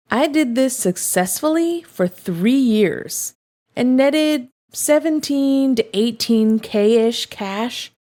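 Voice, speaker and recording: A woman reading first-person confession stories aloud in an expressive, conversational storytelling manner. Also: fairly high pitch. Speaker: story reader, host of a show reading online confessions.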